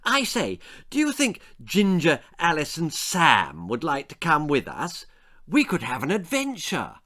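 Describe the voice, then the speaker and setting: A man's voice: fairly high pitch, wildly swooping pitch, a little slow, bright, forceful tone, pitched above the performer's own voice, exaggerated voice. A man voicing an eager, polite schoolboy from an old-fashioned children's adventure story, jolly and full of exclamations. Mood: excited. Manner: jolly, plummy 1940s slang, exclaiming.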